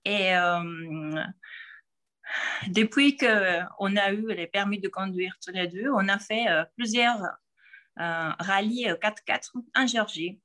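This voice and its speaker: A woman in her forties with a Georgian accent, answering interview questions in a halting way, with frequent pauses and restarts. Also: mid-range voice, pushed and bright. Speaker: podcast guest.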